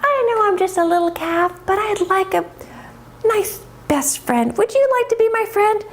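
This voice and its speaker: A woman's voice: very high voice, expressive, moderate pace, voice pushed up. A woman voicing a sweet, eager young calf in a children's story, polite and pleading for a friend. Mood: hopeful, friendly. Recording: noisy live room recording.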